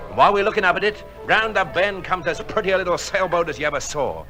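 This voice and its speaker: A man playing an excitable working-class English soldier telling a yarn in a radio drama, with a gravelly voice and colloquial manner. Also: sits high, fast, faint music behind. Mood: excited. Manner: animated, colloquial.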